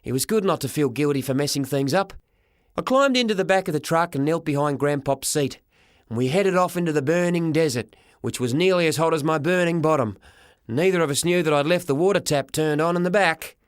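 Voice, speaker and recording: Man, audiobook narrator, children's story, expressive scripted reading, lightly raised pitch, dry sound. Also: fairly quick, clean tone, no echo.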